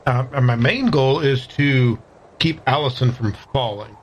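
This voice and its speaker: A man's voice: mid-range voice, measured pace, a little hiss or hum. Man thinking aloud, slightly dull recording. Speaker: player in a tabletop game. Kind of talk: casual game-table talk.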